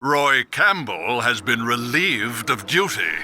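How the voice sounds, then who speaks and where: A man's voice: medium pitch, very animated, slow, over music. A man playing a cold, smug American senior government official in a video game, dismissive and authoritative.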